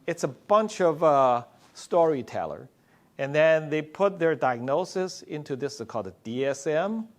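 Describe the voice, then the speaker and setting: Loud-voiced man. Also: on the high side, lively intonation. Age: middle-aged. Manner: loud, slow-paced, joking with the audience. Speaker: psychiatrist and conference speaker. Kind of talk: conference talk.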